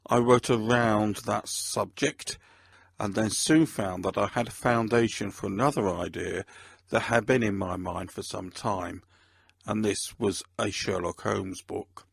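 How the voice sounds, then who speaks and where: A man speaking as an author and host, talking reflectively about his own books in a measured, deliberate, gravelly and husky voice. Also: on the low side, dry recording.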